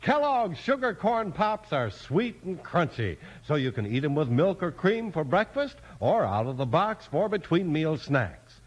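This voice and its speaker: A man's voice: on the high side, very animated, a little slow, few pauses. A man with an American accent doing emphatic, polished 1950s radio announcing and scripted narration. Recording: dull vintage 1950s radio recording.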